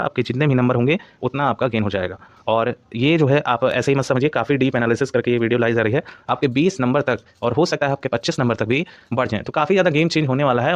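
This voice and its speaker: A man's voice: mid-range voice, dry recording. A man presenting an informal exam-update explainer to candidates, repeating filler phrases as he explains. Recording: dull, lo-fi close dry recording.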